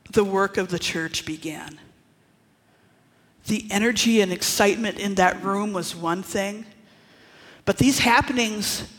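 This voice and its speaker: A preacher from northern Wisconsin giving a Pentecost-style sermon, speaking with dramatic pauses and dropping into hushed asides. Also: medium pitch. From northern Wisconsin, USA. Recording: long reverb of a large hall.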